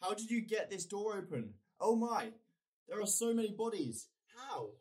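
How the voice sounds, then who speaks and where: Radio drama role: male actor as headstrong grieving young Brit, higher-pitched, earnest, heard slightly far off under music. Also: very animated, normal speed, darkish tone. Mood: shocked, suspicious. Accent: British. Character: grieving, headstrong young man set on finding the truth. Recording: distant voice under prominent music.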